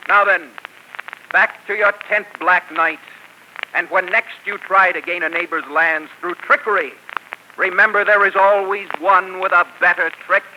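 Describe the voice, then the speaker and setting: A man's voice: sits high, steady tone, a little slow. A man in 1940s radio drama playing a bold, gallant outlaw archer hero, with firm, commanding, theatrical delivery, on a noisy old broadcast.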